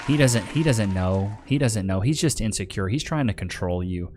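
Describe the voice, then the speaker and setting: A man's voice: lowish voice, lively intonation, normal speed, crowd noise, background noise. A man hosting a reaction video, talking casually and emphatically in slang. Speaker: online video host reacting to clips. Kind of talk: casual streamer commentary.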